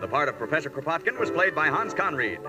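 A man's voice: a little high, very animated, quick pace, music in the background. A man announcing in 1940s radio style, with a polished, salesmanlike delivery.

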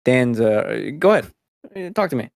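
A man who grew up speaking Spanish first, hosting a casual podcast and rattling off news items in quick succession. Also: mid-range voice, very animated, creaky, darkish tone, no room sound, clean studio sound. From United States. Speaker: podcast host.

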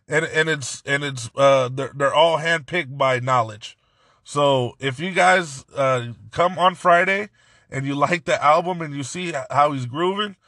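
Hoarse raspy guy rambling on his podcast, kinda high voice, all hyped, trips over his words a lot. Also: dry recording, clean studio sound. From Central California. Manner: excited, rambling with many restarts. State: hoarse from yelling. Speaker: podcast host. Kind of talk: casual solo podcast talk.

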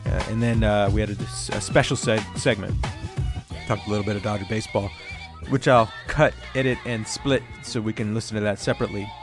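A hoarse man casually hosting a fantasy sports podcast, rambling with lots of fillers. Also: lowish voice, steady tone, fairly quick, music in the background. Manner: loose, rambling, many fillers. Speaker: podcast host, league commissioner. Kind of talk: casual solo podcast talk.

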